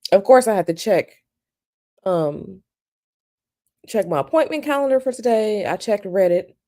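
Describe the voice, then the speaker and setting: A woman with a slightly raspy morning voice, chatting casually with viewers on a live stream from home and greeting them by name. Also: medium pitch, expressive, measured pace, dark tone. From United States. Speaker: tarot channel host. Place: at home, bedroom.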